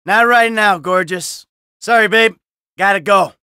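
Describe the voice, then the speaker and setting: A man's voice: sits high, unhurried, sharp tone, clean tone, no echo, clean studio sound. A man voicing a cynical, brash middle-aged American criminal in a video game, sarcastic and foul-mouthed. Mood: flirtatious, smug. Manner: sardonic, brash, swearing.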